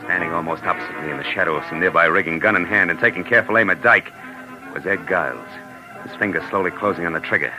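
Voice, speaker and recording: An actor playing a tough, wry private detective in a 1940s radio drama, clipped and commanding. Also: male voice, deep voice, quick pace, natural voice, low-quality recording. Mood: grim narrating. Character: hard-boiled, tough male private detective, brisk and wry. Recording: 1940s radio recording with music behind.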